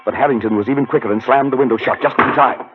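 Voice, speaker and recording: A man acting in a 1940s radio drama as a haunted, educated gentleman, telling his story in a formal, deliberate, dramatic way. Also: mid-range voice, close to an everyday voice. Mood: agitated, urgent. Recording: muffled 1940s radio recording, music behind.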